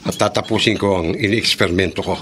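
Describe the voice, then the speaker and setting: A man's voice: deep voice, quick pace, background noise, natural voice. A man acting a determined, serious grown son protecting his family in a horror radio drama, with a Filipino accent. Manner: firm, serious.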